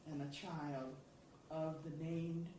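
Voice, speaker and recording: A woman's voice: low-pitched, fairly even intonation, takes it slowly, warm tone, slight background noise. A woman poet speaking thoughtfully and earnestly about her writing at a symposium. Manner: thoughtful, earnest, with small restarts. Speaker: contributing poet. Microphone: far from the microphone. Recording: distant voice in a very echoey hall.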